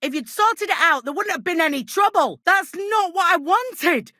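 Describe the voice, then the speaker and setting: An actress playing a brusque, gossipy medieval innkeeper in a video game, speaking in earthy, colloquial rustic phrases with folksy idioms. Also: female voice, extremely high pitch, expressive, normal speed, bright, forceful tone, no room sound, clean studio sound, exaggerated voice. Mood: angry, exasperated. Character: brusque, gossipy medieval village innkeeper woman, plain-spoken, superstitious. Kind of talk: video game character dialogue.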